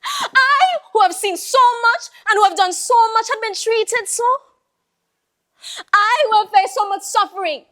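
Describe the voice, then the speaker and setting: A woman's voice: very high voice, a bit bright, heavily acted. A woman performing a theatrical monologue as a proud, weary old Jamaican Creole woman, lamenting and wistful, full of rhetorical questions and sighs. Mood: indignant. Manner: slow, lamenting, rhetorical, with sighing exclamations.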